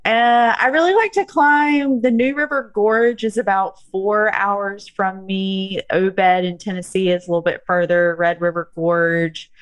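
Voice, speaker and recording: A woman's voice: medium pitch, fairly even intonation, unhurried, few pauses, dry recording. A woman in her thirties from the southern United States with a Southern accent, talking casually as a podcast guest, chatty, with lots of fillers. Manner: chatty, laughs often, lots of um and like. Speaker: guest, strength coach.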